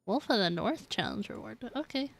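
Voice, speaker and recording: A woman's voice: medium pitch, wildly swooping pitch, a little fast, creaky, no echo. A woman chatting casually and playfully on a gaming livestream, giggly and given to exclamations. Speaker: game live streamer.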